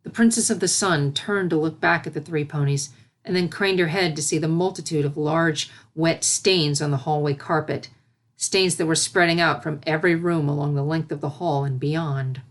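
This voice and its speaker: Female storyteller, lower pitch, expressive scripted fiction narration. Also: normal speed.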